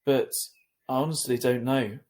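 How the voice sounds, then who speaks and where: Man voicing a gentle, sorrowful mother. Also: medium pitch, steady tone, normal speed, lightly acted. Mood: worried, honest. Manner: soft, tender, hesitant. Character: gentle, sorrowful mother breaking sad news. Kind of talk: children's novel read aloud.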